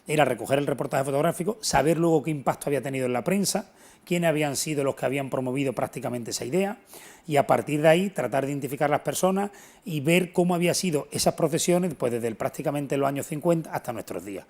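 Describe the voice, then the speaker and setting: A man's voice: on the high side, fast talker, darkish tone. A middle-aged man, giving earnest, explanatory and emphatic answers as an interview guest. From Antequera, southern Spain. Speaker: interview guest, local historian. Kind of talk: unscripted television interview answers.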